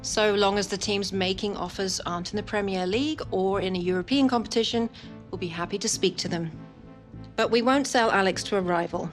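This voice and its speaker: A woman's voice: medium pitch, brisk, natural voice. A woman acting an unyielding, businesslike football club negotiator in a video game cutscene. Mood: firm, cool. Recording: faint music behind.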